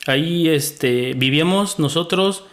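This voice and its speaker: A young man from the State of Mexico with a central Mexican accent, a podcast guest telling his life story in a relaxed, casual way, full of fillers. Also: fairly high pitch, a little slow. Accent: central Mexican Spanish. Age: young adult. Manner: relaxed, uses many fillers like "este".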